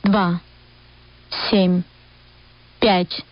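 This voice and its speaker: A woman calls out digits with long gaps, flat and measured. The sound is dry and close, muffled like an old shortwave capture. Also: fairly low pitch, no room sound. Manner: flat, measured single-number delivery. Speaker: numbers station voice. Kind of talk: reading digit groups. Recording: dull lo-fi shortwave recording.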